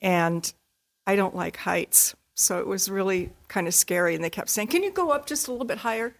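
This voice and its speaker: A woman's voice: mid-range voice, animated, brisk, gravelly. An older woman giving a casual interview as a guest, playful and self-deprecating in how she talks. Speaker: amateur community theater actor, interview guest.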